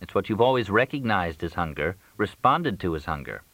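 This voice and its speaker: A man's voice: sits low, sing-song, a little fast, fairly bright tone, dry recording, heavily acted. A man voicing a tired, patient man with a dry, laconic manner in an audiobook. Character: tired, dryly patient man woken by late call. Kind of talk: audiobook character dialogue.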